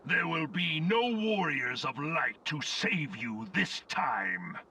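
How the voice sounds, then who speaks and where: An actor playing an imperious, cold and menacing armored warlord in a video game, with a raspy, forceful voice. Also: male voice, fairly high pitch, very animated, slow, recorded from a distance, full character voice. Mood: cold menace.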